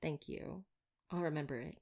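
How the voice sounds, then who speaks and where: Wry female vampire hunter, voiced by an actress, slightly low voice, glib flirty banter, caring underneath, intimate audio roleplay. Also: fairly even intonation, normal speed, creaky, a little mellow, lo-fi sound. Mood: soft, caring.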